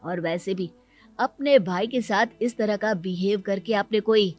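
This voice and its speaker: A woman's voice: mid-range voice, a little slow, a little mellow, pitched below the performer's own voice, natural voice. Woman playing a blunt, headstrong young son. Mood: reproachful. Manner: firm, argumentative. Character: headstrong grown son in his twenties, blunt. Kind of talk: cartoon moral-story acting.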